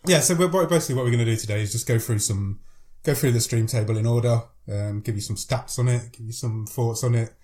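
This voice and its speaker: Man with a cold, quick talker, mellow low-key tone, podcast co-host, chatty. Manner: chatty, laughs often, rattles off news. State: has a cold. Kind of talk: casual chat.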